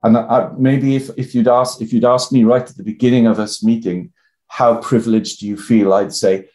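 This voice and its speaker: An older Welsh man from the South Wales Valleys, talking as a guest in a reflective, halting way with many restarts. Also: medium pitch, steady tone, warm tone. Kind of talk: reflective personal interview talk.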